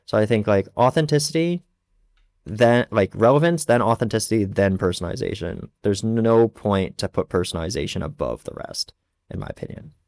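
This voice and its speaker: Blunt, animated man, dry close mic. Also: lowish voice, fairly quick, no room sound. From not from the Czech Republic. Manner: blunt, animated, heavy filler "like". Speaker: guest. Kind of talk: casual podcast chat. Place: lives in Prague.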